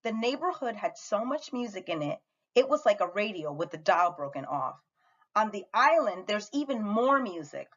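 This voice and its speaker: A woman reading a picture book aloud to children in an animated, expressive way, as a teacher does. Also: mid-range voice, moderate pace, highs cut off. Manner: animated, acts out the dialogue.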